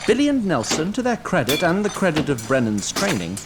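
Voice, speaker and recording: A man's voice: fairly high pitch, huge pitch swings, a little fast, noisy background. A man narrating a scripted fantasy audio drama in a dramatic storytelling style.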